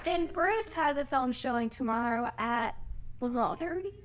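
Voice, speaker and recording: A woman's voice: high-pitched, animated, a little slow. A woman chatting informally and exclaiming at a live event. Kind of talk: casual chat at a live event. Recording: clear background noise with clicks.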